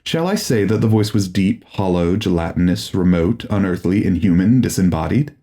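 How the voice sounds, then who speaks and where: A man reading a horror audiobook as a grave, formal, deliberate and dramatic narrator. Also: low voice, expressive, dark tone.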